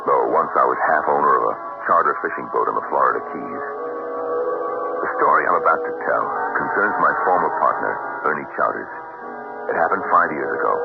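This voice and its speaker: An actor playing a wary middle-aged drifter and former boat owner in a 1970s radio drama. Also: male voice, very deep voice, creaky, exaggerated voice. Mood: grave, reflective. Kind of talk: 1970s radio drama acting and first-person narration. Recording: muffled narrow-band 1970s radio broadcast.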